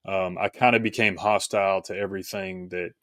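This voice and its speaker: A man from rural Tennessee speaking as an interview guest, explaining things reflectively in a halting, conversational way. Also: deep voice, steady tone, fairly bright tone, no room sound, clean studio sound. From rural Tennessee, United States. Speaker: podcast guest, author.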